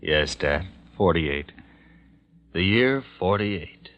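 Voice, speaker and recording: An actor playing a grown, dutiful son in a 1940s radio drama, gentle, subdued and measured as he reassures. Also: sits low, animated, heavily acted. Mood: gentle, reassuring. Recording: muffled, noisy old radio recording.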